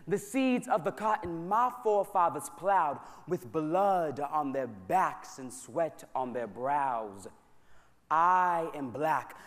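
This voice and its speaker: An American man performing spoken word poetry on a stage microphone at a live church concert, with a rhythmic, rhyming delivery and emphatic slow repetitions. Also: high-pitched. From United States. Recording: long hall reverb from a large church.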